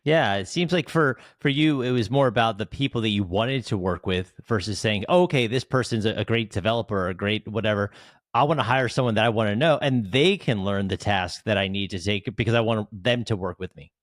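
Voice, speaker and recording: A man's voice: fairly low pitch, fairly quick, no room sound, clean studio sound. A male podcast host chatting casually, summing up his guest's points in a friendly, conversational way. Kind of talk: casual interview chat.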